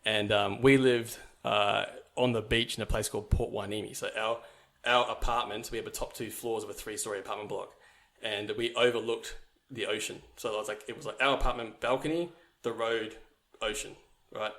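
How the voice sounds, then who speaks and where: A man's voice: lowish voice, steady tone, fairly quick, a bit bright. A young man from New Zealand, a podcast guest, telling his life story in a casual, rambling way with many fillers and restarts.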